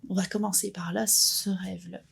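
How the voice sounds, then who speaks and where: A woman's voice: medium pitch, flat delivery, moderate pace, vocal fry, fairly bright tone, husky. A woman, sharing her personal story as an interview guest in an earnest, thoughtful way with frequent restarts. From Belgium. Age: 50s. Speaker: guest entrepreneur.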